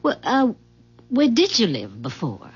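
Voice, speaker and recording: An actress playing a polite, sociable young wife in a 1970s radio drama, talking in a courteous, gently inquisitive way. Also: female voice, fairly high pitch, huge pitch swings, unhurried, husky, full character voice. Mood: curious. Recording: dull, muffled 1970s radio broadcast recording.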